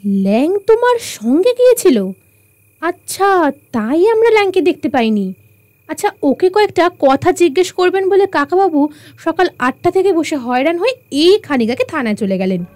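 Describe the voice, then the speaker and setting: A young detective heroine in a radio play. Her high voice swings widely in pitch, firm and admonishing, watchful and sure of herself. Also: big pitch swings, normal speed, a little mellow, no room sound. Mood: probing. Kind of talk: radio drama acting.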